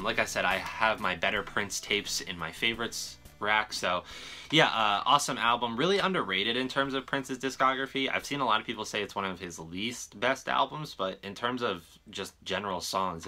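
A man's voice: lowish voice, animated, a little fast, sharp tone, soft background music. A young man talking casually to camera in a show-and-tell style, informal and given to swearing. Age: young adult. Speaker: vlogger showing a music collection. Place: small room at home, indoors.

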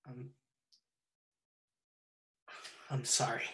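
A young man acting as an awkward, rambling lecturer, halting and repeating himself. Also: mid-range voice, flat delivery, bright, forceful tone. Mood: flustered, hesitant. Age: young adult. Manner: halting, repetitive, self-interrupting. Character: rambling, awkward lecturer enthralled by mind-blowing ideas. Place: small bare room indoors. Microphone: webcam at desk distance. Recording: echoey room, webcam distance.

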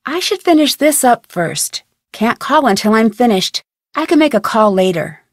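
A woman with an American accent playing a plucky, curious teenage girl detective in a video game, thinking aloud in a clear, chipper way. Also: on the high side, sing-song, moderate pace, dry recording, studio quality. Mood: matter-of-fact. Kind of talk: scripted video game voice lines.

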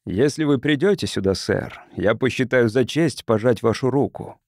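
A man's voice: medium pitch, animated, moderate pace, a little mellow, no echo, studio quality, lightly acted. A man voicing an earnest, polite sociologist from Earth who reasons with others in an audiobook. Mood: reassuring, reasoning. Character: earnest, conciliatory male visiting sociologist from Earth.

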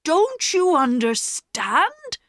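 Storybook role: proud poor father, gruff and emphatic, played by a woman in a raised, slow voice. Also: sits high, very animated, fairly bright tone, no room sound, studio quality, voice pushed up, heavily acted. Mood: indignant. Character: proud, gruff working-class father, poor but honest. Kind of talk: storybook character reading.

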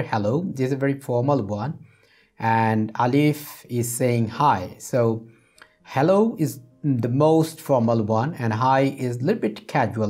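A man's voice: medium pitch, a little mellow, breathy edge. A middle-aged man gives an explanatory spoken English lesson as a teacher, repeating phrases for emphasis. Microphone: lapel microphone.

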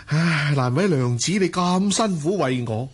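A scheming rich seducer in a Hong Kong Cantonese radio drama. He talks in a fairly high voice with very lively swings, coaxing and sure of himself. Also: unhurried, breathy edge. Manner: self-assured, coaxing. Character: smooth-talking, scheming rich gentleman and seducer.

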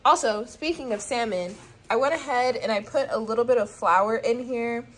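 A woman's voice: fairly high pitch, moderate pace. A young woman in her twenties casually talking through a cooking vlog at home into a phone camera, rambling and self-mocking with lots of fillers. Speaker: cooking vlogger. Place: small home kitchen and apartment room.

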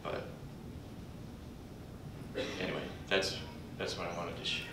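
Older man at a podium, echoey and distant. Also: steady tone. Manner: folksy, warm-humored, halting with pauses. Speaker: conference speaker introducing a colleague. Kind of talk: speaking at a podium. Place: large conference hall, indoors. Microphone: podium mic, recorded from afar by a computer. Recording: distant echoey hall recording with background rumble.

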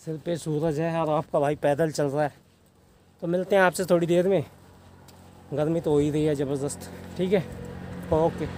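A man in his twenties with a high voice talks casually to his camera as a travel vlogger. He comes from Haryana in India. The recording is held at arm's length, with plenty of outdoor and vehicle noise around him. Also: steady tone, moderate pace, long pauses, warm tone. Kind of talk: casual vlog narration to camera. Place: outdoors on bridge and highway, later in a car. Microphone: phone or camera at arm's length.

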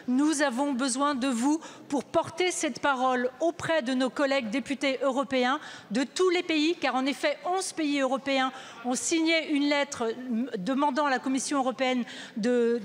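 A middle-aged woman, a minister, answering in a large chamber with frequent hesitations and filler sounds. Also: high voice. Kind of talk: spoken parliamentary reply. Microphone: gooseneck desk mic. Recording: long hall reverb.

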